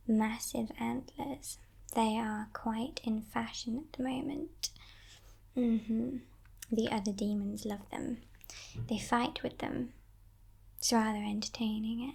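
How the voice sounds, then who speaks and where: A female demon captor acted by a woman in ASMR roleplay speaks in a breathy, creaking whisper at an unhurried pace. She is coolly amused and possessive, teasing her captive with condescending politeness. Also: a little high, heavily acted. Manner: slow, hushed, mock-polite, condescending.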